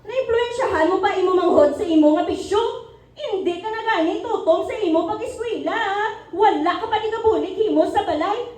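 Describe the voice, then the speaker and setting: A woman's voice: very high-pitched, a little fast, a little mellow. A woman playing an anxious, nagging mother with a Filipino accent in an amateur radio drama. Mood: scolding. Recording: roomy, noisy, distant microphone.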